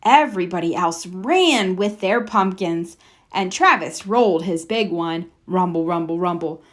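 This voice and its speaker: A woman's voice: fairly low pitch, lively intonation, measured pace. A woman reading a children's storybook aloud expressively, with playful sound effects. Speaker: storybook reader.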